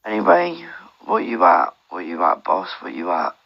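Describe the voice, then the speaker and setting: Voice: sits low, huge pitch swings, normal speed, vocal fry, lo-fi sound. A person chatting casually and giving advice in a rambling, repetitive way, swearing often, over walkie-talkie audio.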